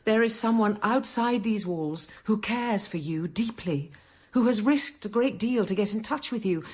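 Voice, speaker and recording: Gentle, coaxing nun. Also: female voice, mid-range voice, expressive, moderate pace, slightly rough. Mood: earnest, urgent. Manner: gentle, persuasive, soothing. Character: caring, coaxing convent nun nursing a starving girl. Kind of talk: radio drama acting and first-person narration.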